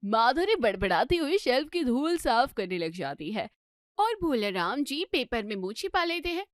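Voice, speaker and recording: A woman narrates a comic bedtime story from a script. Her voice sits very high, and the recording is dry with hardly any room sound. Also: very animated, normal speed, dry recording.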